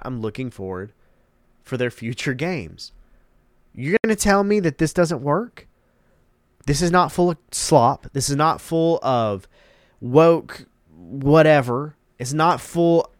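A man's voice: mid-range voice, dry recording. A man hosting a casual gaming commentary, congested and emphatic, repeating words for emphasis. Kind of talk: casual commentary reading articles.

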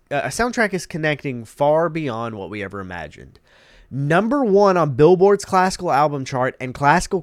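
A man's voice: a little high, dry recording, studio quality. A man hosting a casual gaming commentary, congested and emphatic, repeating words for emphasis. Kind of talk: casual commentary reading articles.

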